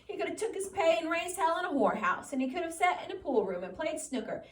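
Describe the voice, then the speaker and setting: A woman reading aloud and voicing a scolding, disapproving little old aunt in a sharp rural drawl. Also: very high voice, big pitch swings, fast, roomy sound, recorded from a distance, pitched above the performer's own voice.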